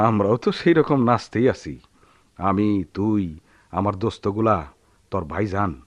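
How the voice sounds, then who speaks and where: An actor playing a poor sharecropper husband, tender but hot-tempered, speaking rural Bangladeshi dialect in a theatrical radio drama manner. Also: male voice, on the low side, takes it slowly, no echo, dull audio. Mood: bitter, angry. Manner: theatrical, swinging from murmurs to shouts.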